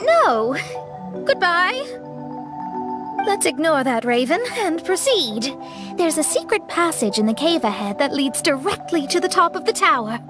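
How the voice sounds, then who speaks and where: A woman's voice: sits high, sing-song, measured pace, over music. A woman playing a haughty, grandiose girl in a video game, with pompous, formal diction. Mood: haughty, commanding. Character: haughty theatrical girl, grandiose self-styled fantasy princess.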